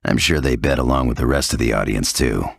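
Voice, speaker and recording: An actor playing a cynical, hard-bitten undercover tough guy in a video game, gravelly and husky, with a blunt, sardonic delivery. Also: rumbling low, quick pace, no echo, studio quality, exaggerated voice. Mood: dry, cynical. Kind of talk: video game cutscene acting.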